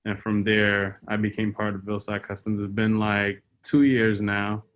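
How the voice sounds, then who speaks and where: Low-voiced man, easygoing. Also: unhurried. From Boston, US. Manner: relaxed, hesitant, many ums. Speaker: business co-owner, guest. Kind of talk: casual interview answers. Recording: dull lo-fi sound.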